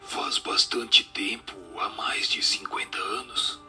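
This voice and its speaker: An actor in a radio drama playing the merry, mischievous spirit of a dead street ragpicker, with playful, breathy delivery. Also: male voice, lowish voice, steady tone, slow, sharp tone, heavily acted. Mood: wistful. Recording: music under the voice.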